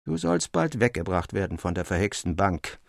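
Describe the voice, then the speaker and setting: A man voicing a boisterous, mocking young sailor in a storybook reading, loud and jeering. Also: deep voice, big pitch swings, normal speed, gravelly, no room sound, clean studio sound, heavily acted. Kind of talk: audiobook character acting.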